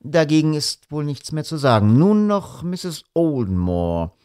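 A man voicing a shrewd male detective who asks probing questions in a measured way. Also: fairly high pitch, very animated, mellow, smooth, dry recording, clean studio sound, pitched above the performer's own voice. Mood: calm.

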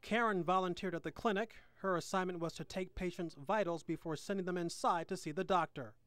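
A man reporting and narrating a television news documentary in a measured, scripted way. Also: high voice, fairly even intonation, fairly bright tone, slight background noise. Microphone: handheld station mic.

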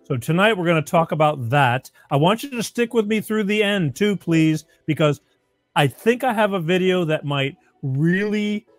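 A somewhat raspy man hosting an informal political talk show, plain-spoken and repeating phrases for emphasis. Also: high voice, animated, moderate pace, no echo, soft background music. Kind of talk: informal live opinion monologue to listeners.

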